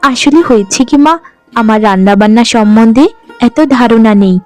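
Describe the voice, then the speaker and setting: A dutiful young bride in a fairy-tale cartoon, acted by a woman. She speaks in a high, soft-mannered voice, meek and pleading. Light music runs underneath. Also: moderate pace, natural voice. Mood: polite, caring.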